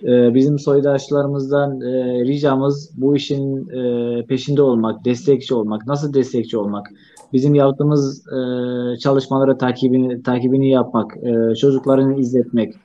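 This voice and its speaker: A man giving an informal spoken summary as interpreter, halting and repeating phrases, on a muffled call. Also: medium pitch, little pitch movement, dark tone.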